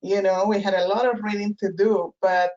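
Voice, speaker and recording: A woman's voice: medium pitch, little pitch movement, normal speed, a little mellow. A woman with a Spanish accent, answering questions in a webinar over a video call. She speaks in a thoughtful way, stopping and restarting her sentences. The audio is a bit dull and lo-fi. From Spanish-speaking country. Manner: thoughtful, many fillers and restarts. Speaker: guest professor. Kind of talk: webinar interview.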